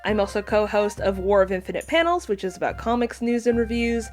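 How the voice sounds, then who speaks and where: A woman's voice: mid-range voice, fairly even intonation, fairly quick, darkish tone, faint music behind. A talkative woman chatting casually as a podcast guest, with a playful, self-deprecating way of talking. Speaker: podcast guest, pop culture commentator. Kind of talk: casual chat.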